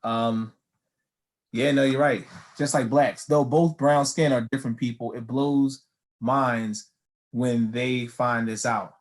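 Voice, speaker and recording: A man from the United States hosting a casual faith chat, reading viewer comments aloud and speaking haltingly. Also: medium pitch, steady tone. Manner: hesitant, many fillers and restarts.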